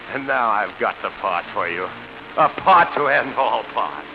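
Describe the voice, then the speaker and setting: An actor playing an embittered, falsely genial schemer with quiet menace, savoring his words in 1940s radio suspense style. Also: lowish voice, lively intonation, slow pace. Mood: gloating menace. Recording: muffled, hissy vintage radio recording.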